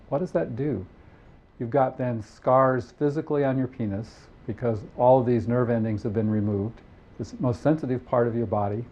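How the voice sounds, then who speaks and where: A man explaining his views gravely and emphatically, as an expert in an interview. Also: mid-range voice, lo-fi sound.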